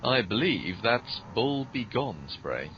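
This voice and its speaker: A man playing a formal, deferential, deadpan butler with a shady streak in a scripted comedy podcast. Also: takes it slowly. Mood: calm, dry. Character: deadpan, dutiful, quietly shady male butler. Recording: muffled, noisy low-quality podcast audio.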